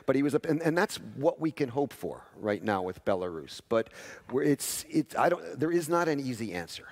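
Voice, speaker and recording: A man's voice: medium pitch, sing-song, normal speed. A man speaking on a conference panel in a blunt, emphatic way, repeating words as he presses his points. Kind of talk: panel discussion answer. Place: large conference hall.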